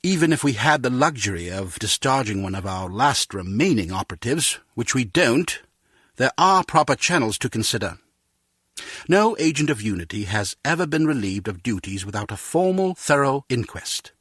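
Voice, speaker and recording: An actor playing a measured, authoritative spy agency director in a video game, with a husky voice and formal manner. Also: male voice, mid-range voice, big pitch swings, a bit bright, dry recording, studio quality. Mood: measured, firm.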